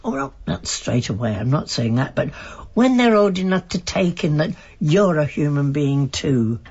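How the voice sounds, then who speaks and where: An actress playing a frail, nosy, affectionate old woman with a husky, creaky voice in a radio play. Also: deep voice, big pitch swings, normal speed, a little mellow, dull audio, full character voice. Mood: curious, tender. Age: older. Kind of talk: naturalistic British radio drama acting.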